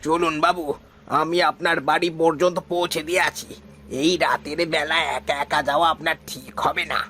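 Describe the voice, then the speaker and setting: An actor playing the gentle, protective ghost of an old boatman in a cartoon, speaking respectfully to the young master. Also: fairly high pitch, little pitch movement, moderate pace, slight background noise. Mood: reassuring. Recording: music behind the voice.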